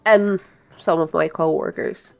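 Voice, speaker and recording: A woman's voice: low voice, fairly even intonation, a little slow, vocal fry, faint music behind. A woman from the part of Belgium, chatting casually as a game streamer and rambling through explanations. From Belgium, Flemish-speaking part. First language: Dutch (Flemish). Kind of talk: casual live-stream commentary.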